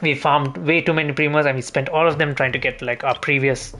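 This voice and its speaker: A man's voice: fairly high pitch, fairly even intonation, a little fast, a bit bright, slight background noise. A man chatting casually as a live game streamer, rambling and repeating phrases as he thinks.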